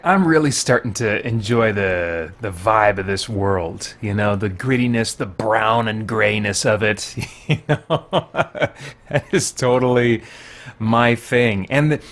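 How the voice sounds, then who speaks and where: A man giving casual video game commentary, thinking aloud in a halting way as he plays and reviews. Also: medium pitch, a bit bright. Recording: game music behind the voice.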